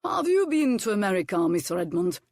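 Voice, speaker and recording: A woman voicing a charming, sly viscount with a mild, smooth manner. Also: medium pitch, very animated, brisk, a little mellow, no echo, studio quality. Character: charming sly middle-aged French viscount.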